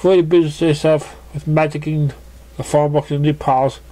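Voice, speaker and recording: A man narrating a fan fiction story as an amateur reader, with halting, stumbling delivery. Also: fairly high pitch, steady tone, warm tone.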